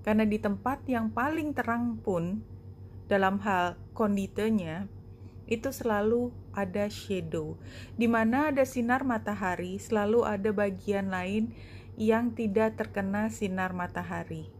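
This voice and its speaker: A woman giving a spoken card reading to viewers, explaining reflectively in short phrases with pauses. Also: medium pitch, slight background noise. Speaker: tarot and oracle card reader.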